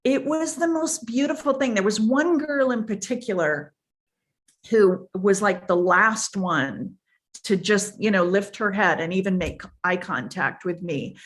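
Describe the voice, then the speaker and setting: An older woman speaks slowly in a booming, emphatic voice as a podcast guest. She tells stories in an unhurried way, repeating phrases while she thinks. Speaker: podcast guest, foundation founder. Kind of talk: conversational storytelling.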